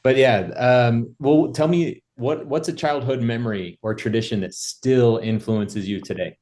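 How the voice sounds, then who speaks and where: A man hosting a casual interview podcast, friendly and enthusiastic, reacting quickly to his guest. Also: medium pitch, fairly even intonation, a little mellow. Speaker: podcast host.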